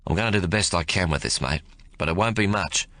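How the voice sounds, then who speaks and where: A man playing a blunt, steady army sergeant. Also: deep voice, fast, no room sound, clean studio sound. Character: gruff male Australian army sergeant.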